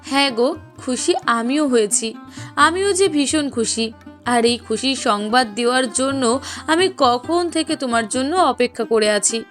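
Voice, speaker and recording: A woman voices a caring young wife in a cartoon family drama. Her voice is high and sweet, with a coaxing, emotive delivery, over a music bed. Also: a little slow, fairly bright tone. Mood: happy. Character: loving young wife, expectant mother, caring and anxious. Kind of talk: cartoon dialogue acting.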